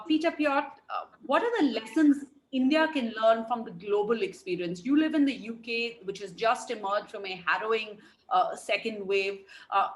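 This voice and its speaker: A woman's voice: mid-range voice, a little hiss or hum, poor audio. A woman moderating a webinar panel over a video call, asking questions with many fillers.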